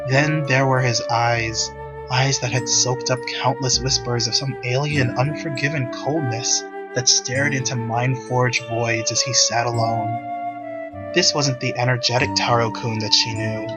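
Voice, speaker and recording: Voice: mid-range voice, little pitch movement, unhurried, low-quality recording. A narrator reading scripted visual novel prose. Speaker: narrator and reader of all parts. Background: background music.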